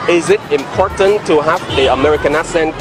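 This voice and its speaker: A man with a Vietnamese accent interviewing people casually in halting, simple English. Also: on the high side, over loud music. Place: outdoors in a busy public area. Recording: noisy street with crowd and traffic.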